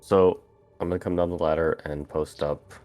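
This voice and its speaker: A man's voice: low-pitched, level tone, moderate pace, darkish tone. A man playing a tabletop roleplaying game, chatting casually about his moves.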